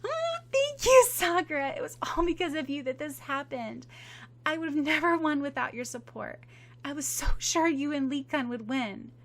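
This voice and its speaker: A woman voicing an excitable, grateful teen girl who talks in a high, loud, gushing way. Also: normal speed.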